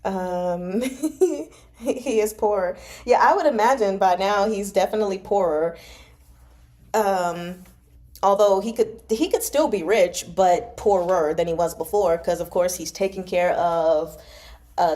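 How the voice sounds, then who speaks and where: A woman's voice: mid-range voice, unhurried, a little mellow. A woman hosting a read-along, narrating a novel expressively and adding casual, chatty commentary. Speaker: host of a live read-along.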